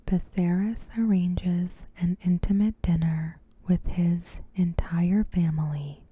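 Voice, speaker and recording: A woman softly whispering a scripted recap in a gentle, hushed, breathy ASMR narration. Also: fairly low pitch. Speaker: ASMR host.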